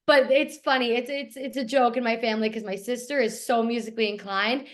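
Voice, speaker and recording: A young woman from Toronto, Canada, with a raspy voice, talking eagerly as a guest in a casual interview. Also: fairly high pitch, fairly even intonation, brisk. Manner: eager, gushing, repeats how much she loves things. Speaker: guest actor.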